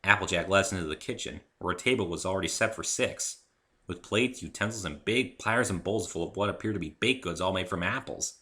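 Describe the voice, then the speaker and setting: A man's voice: low-pitched, brisk, sharp tone. A man narrating a first-person story in a dramatic reading style. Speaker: author reading own story.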